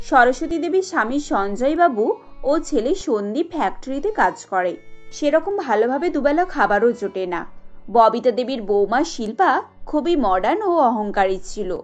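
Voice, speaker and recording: High-pitched woman narrating a cartoon story. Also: highs cut off.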